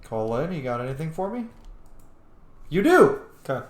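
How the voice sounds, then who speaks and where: A man's voice: on the high side, sing-song, normal speed. A man giving casual commentary as he plays a game, cheeky, blunt and sweary. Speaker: gamer, let's player. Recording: echoey room.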